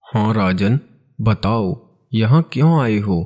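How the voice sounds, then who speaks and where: Man acting a low-voiced solemn sage, music behind. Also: lively intonation, slow pace, darkish tone. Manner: grave, formal pronouncements. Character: solemn forest ascetic sage, calmly authoritative. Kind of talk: cartoon moral-story voice acting. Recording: plucked-string music under the voice.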